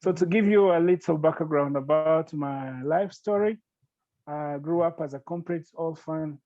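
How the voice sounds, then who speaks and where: A man from Rwanda, speaking earnestly and gratefully as a podcast guest, with halting restarts. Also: a little high, no echo, phone-quality sound. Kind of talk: podcast interview.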